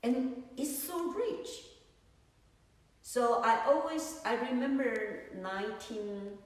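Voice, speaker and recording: Slow-talking woman teacher in echoey hall. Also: on the high side, lively intonation. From Taiwan. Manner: unhurried, searching for words, many "you know"s. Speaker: Buddhist teacher. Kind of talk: dharma talk. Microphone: phone on a tripod. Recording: echoey room, far from mic.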